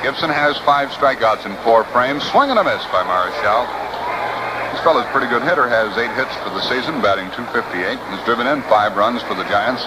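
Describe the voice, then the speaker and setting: American baseball announcer on noisy old radio. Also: male voice, medium pitch, fairly quick. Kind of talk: live radio sports commentary. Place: outdoor ballpark broadcast booth. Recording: noisy 1960s AM radio broadcast. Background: steady noise and outdoor ambience.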